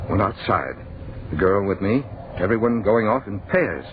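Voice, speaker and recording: An actor playing a bewildered, frightened young man who tells his nightmare in halting, intense old-time radio narration, repeating words in disbelief. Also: lowish voice, lively intonation, a little hiss or hum, close to an everyday voice. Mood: fearful, agitated. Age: young adult. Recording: narrow, muffled old radio broadcast.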